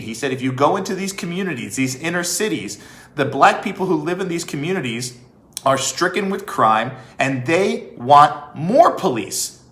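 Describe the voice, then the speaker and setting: American guy doing live commentary, kinda high forceful voice, repeats stuff for emphasis, echoey room. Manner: emphatic, deliberate, repeats phrases for effect. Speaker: host, former police officer. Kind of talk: livestream commentary addressed to viewers.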